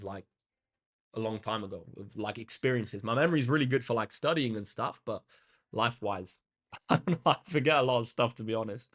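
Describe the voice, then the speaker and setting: Laid-back young man chatting. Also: medium pitch. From England. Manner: relaxed and chatty, with frequent ums and uhs. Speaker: maths tutor hosting a livestream. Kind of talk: casual chat answering live comments. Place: indoor room facing a big window.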